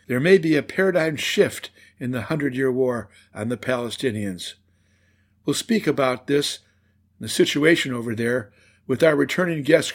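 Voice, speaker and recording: A man hosting a talk show, reading a measured opening and introducing his guest. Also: on the high side. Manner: measured, read-aloud delivery. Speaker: radio show host. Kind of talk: scripted commentary and interview intro.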